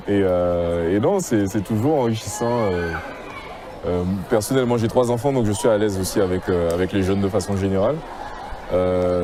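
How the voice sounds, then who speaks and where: A man from Guadeloupe, an athlete answering interview questions in a halting way, with many fillers and restarts. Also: lowish voice. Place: outdoors at a school.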